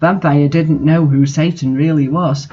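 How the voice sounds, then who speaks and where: A woman's voice: deep voice, steady tone, measured pace, warm tone, husky. A woman giving a dramatic, mocking amateur reading of fan fiction, with her own commentary. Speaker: reader. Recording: dull lo-fi sound.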